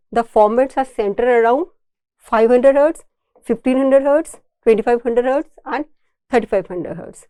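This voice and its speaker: A woman lecturer with an Indian accent and a fairly high, soft-edged, mellow voice. She explains technical material step by step, often restating a point in other words. The sound is dry and close, with very little room in it. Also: no room sound. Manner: didactic, repeats and rephrases points. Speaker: engineering lecturer.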